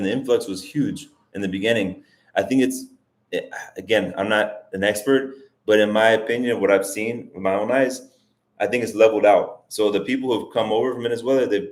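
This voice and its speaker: Rambling man hosting a live Q&A. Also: on the low side. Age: 40s. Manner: rambling, thinks aloud with frequent restarts. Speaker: livestream host. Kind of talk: casual livestream Q&A. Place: lives in Colombia as an immigrant.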